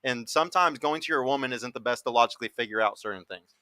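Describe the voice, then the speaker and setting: A man in his thirties holds forth on a dating podcast, quick and emphatic and not shy about swearing. His voice is bright and forward. The sound is close and dry. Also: mid-range voice, no room sound. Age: 30s. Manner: emphatic, blunt, swears freely. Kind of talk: casual panel talk.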